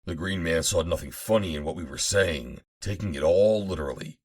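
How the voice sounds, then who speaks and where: A man reading an adventure novel aloud as an audiobook narrator, in a measured, formal storytelling manner. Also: low-pitched, darkish tone, breathy edge. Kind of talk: dramatic scripted narration of an old adventure novel.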